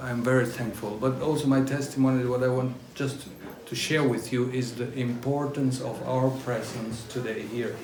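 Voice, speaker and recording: A man from northern Italy whose first language is German, giving a religious testimony to a pilgrim group in halting English full of fillers. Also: mid-range voice, little pitch movement, echoey room, background noise. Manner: halting, searching non-native English with fillers. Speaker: pilgrimage speaker. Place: gathering at a castle.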